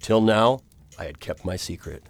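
An actor playing an earnest, determined gentleman hero in a radio drama, speaking gravely and formally. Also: lowish voice, normal speed, dry recording. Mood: reflective. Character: earnest, determined gentleman hero recounting his perilous adventure. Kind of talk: radio drama narration and acting.

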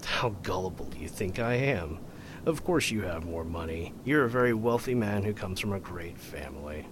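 A man's voice: low voice, expressive, normal speed, background noise. A man voicing a sneering blackmailer. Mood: menacing. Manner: sneering and menacing. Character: scheming middle-aged male blackmailer. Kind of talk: amateur radio drama acting.

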